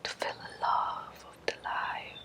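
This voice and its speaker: A woman with a Spanish accent guides an ASMR meditation in a breathy whisper, in short, halting, repeated phrases. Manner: slow, halting short phrases with gentle repetition. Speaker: ASMR creator guiding a Reiki energy exercise.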